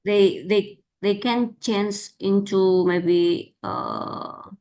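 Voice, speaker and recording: A woman leads a class discussion, posing questions to students at a measured, unhurried pace. Her voice sits at an ordinary middle pitch. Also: wildly swooping pitch, creaky, darkish tone. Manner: slow, prompting students with questions. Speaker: lecturer.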